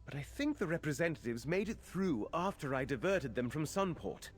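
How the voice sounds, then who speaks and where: High-voiced, flustered mage. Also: male voice, sing-song, normal speed, faint music behind. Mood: worried explaining. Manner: fretful, earnest. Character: anxious, flustered male mage's assistant. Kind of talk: scripted game character dialogue.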